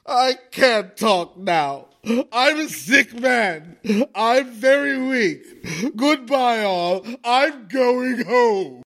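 Blustery panicky principal in a radio comedy, high voice, drawn-out theatrical delivery. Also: male voice, animated, a bit bright, a little hiss or hum, exaggerated voice. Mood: feeble. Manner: theatrical, exclamatory. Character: blustering, scheming, panicky male school principal. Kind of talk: broad radio comedy acting.

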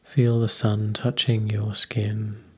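A man leading a guided meditation in a calm, unhurried, soothing manner. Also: lowish voice, husky.

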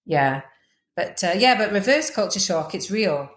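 A woman with a Welsh accent, chatting quickly as a podcast guest, full of fillers and restarts. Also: medium pitch, expressive, roomy sound. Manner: fast-talking, chatty, lots of fillers. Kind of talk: casual interview chat.

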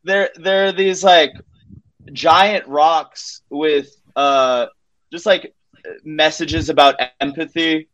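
Male comedian guest, somewhat high voice, loose conversational storytelling, halting and self-interrupting. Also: steady tone, a bit bright, highs cut off.

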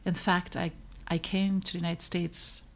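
A middle-aged woman from the Netherlands, a pediatrician hosting her own podcast, narrating in an earnest, measured and reflective way with emphatic pauses. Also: lowish voice, flat delivery, gravelly. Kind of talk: scripted personal podcast narration.

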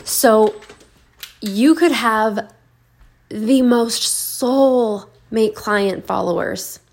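A woman hosting a casual solo podcast, slightly raspy from being sick, riffing informally and repeating phrases for emphasis. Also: a little high, drawn-out words, a little mellow. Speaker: podcast host and business coach.

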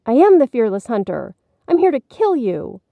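A woman's voice: extremely high pitch, animated, normal speed, dry recording, phone-quality sound, voice pushed up. A woman voicing a timid but determined small boy in a storybook, with a childlike, pleading manner. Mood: timid, brave.

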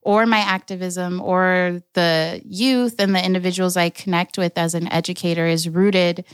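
A young adult woman from Los Angeles telling her family story in a slow, reflective way, with careful restarts. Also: fairly low pitch, fairly even intonation, clean tone, dry recording. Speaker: storyteller, artist and organizer. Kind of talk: personal storytelling.

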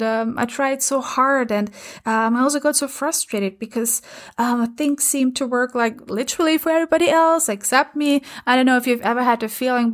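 A woman in her thirties from Austria, a native German speaker with an Austrian German accent, hosting her own podcast in an earnest, encouraging way with many fillers. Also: fairly high pitch. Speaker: podcast host and coach. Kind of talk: casual solo podcast talk. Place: home in London.

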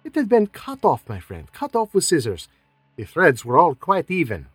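Guy doing a prim polite detective, bouncy pitch, dry close sound. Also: wildly swooping pitch, moderate pace, breathy edge, dry recording, faint music behind, exaggerated voice. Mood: precise. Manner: precise, polite, faintly French-flavoured phrasing. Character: fussy dapper middle-aged male foreign detective.

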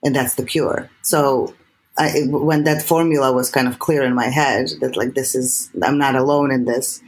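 Guest: Serbian-accented woman, animated, earnest, restarts a lot. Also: rumbling low, normal speed, rough recording. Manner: animated, many restarts and repeated words. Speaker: guest filmmaker. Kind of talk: earnest interview talk.

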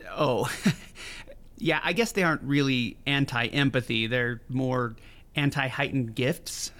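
An actor playing an earnest, tender-hearted advocate in an audio drama, talking in a chatty, expressive way. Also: male voice, mid-range voice, takes it slowly. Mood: upbeat. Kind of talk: naturalistic audio drama acting.

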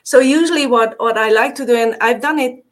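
A woman's voice: a little high, brisk, crowd noise. Hungarian-accented woman, chatty and laughing. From Transylvania. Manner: laughs often, many fillers and restarts. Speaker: marketing agency guest. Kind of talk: casual interview chat.

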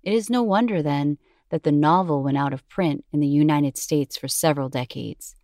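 A woman with a low-pitched voice narrates an educational script. Her reading is measured and articulate, and the sound is dry, with very little room in it. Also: darkish tone, no echo.

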